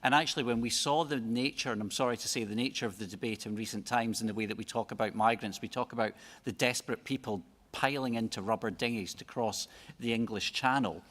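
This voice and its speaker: A man's voice: mid-range voice, fast talker, few pauses, a bit bright. A man speaking reflectively on a conference panel, building to emphatic points. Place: large conference hall. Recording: reverberant conference hall.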